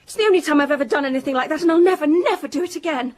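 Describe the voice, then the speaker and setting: An actress playing a proud, guilt-stricken young daughter of a well-to-do family in a radio drama, earnest and defensive. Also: female voice, high-pitched, racing, darkish tone, close to an everyday voice. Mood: remorseful. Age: young adult. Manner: earnest, defensive, faltering under questioning.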